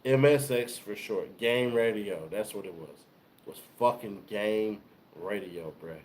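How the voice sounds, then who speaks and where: A young man co-hosting a casual chat, eager and quick to cut in, often repeating himself. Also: fairly low pitch, darkish tone, a little hiss or hum.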